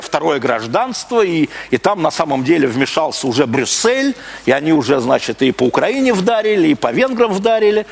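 A man from Russia giving a live public lecture, speaking emphatically with repeated phrases, rhetorical questions and broken-off sentences. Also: a little high. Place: live talk before an audience. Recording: clearly noisy live recording.

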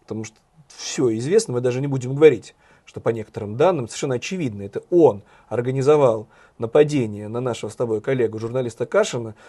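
A man with a hoarse voice co-hosting a satirical news chat, talking in a sardonic, ironic way. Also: mid-range voice, quick pace, warm tone. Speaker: co-host, journalist.

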